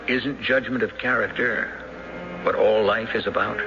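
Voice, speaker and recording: A man hosting and narrating a radio drama in a deliberate, theatrical, rhetorical style. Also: on the low side, fairly even intonation. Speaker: host and narrator. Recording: dull old radio broadcast. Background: music.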